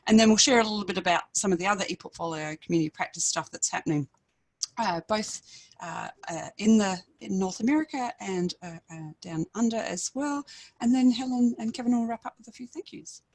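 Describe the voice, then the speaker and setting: An Australian woman with a croaky voice, introducing a panel on a webinar call in a rambling way, with many fillers and restarts. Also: medium pitch, brisk, a little mellow, no room sound. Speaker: panelist from an ePortfolio group. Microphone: video call.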